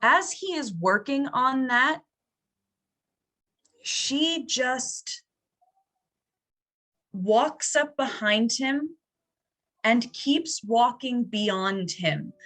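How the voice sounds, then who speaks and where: A woman improvising narration and chatting casually in a tabletop horror game, building descriptions haltingly, phrase by phrase. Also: a little high, lively intonation, a bit bright. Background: faint music.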